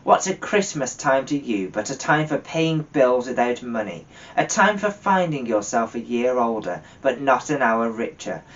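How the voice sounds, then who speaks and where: A man's voice: mid-range voice, lightly acted. Far-off dull recording, man playing old male miser, storybook character, grumpy, scornful, stern, growling, indignant. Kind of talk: dramatised storybook reading. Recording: distant, dull lo-fi microphone.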